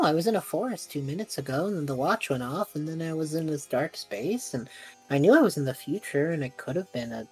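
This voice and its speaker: A woman's voice: low voice, a little mellow. A woman playing an awkward, wide-eyed young male time traveller in improvised tabletop role-play, rambling eagerly.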